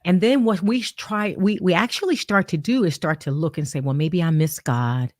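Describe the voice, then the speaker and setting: Preacherly low-voiced woman host. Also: normal speed, dry recording, clean studio sound. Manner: preacherly, emphatic, builds with analogies. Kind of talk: faith-based leadership conversation.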